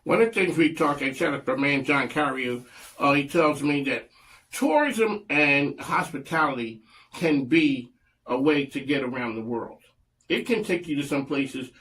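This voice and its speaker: A man hosting a radio talk show, chatty and animated, often laughing as he interviews a guest. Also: fairly high pitch, normal speed, poor audio. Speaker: host.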